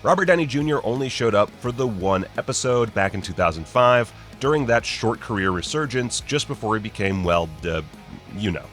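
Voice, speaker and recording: A man's voice: lowish voice, expressive, fairly quick, fairly bright tone, soft background music. A man narrating a scripted list video as host, with wry, sarcastic, joking asides. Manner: wry, sarcastic, jokey mock-casual delivery.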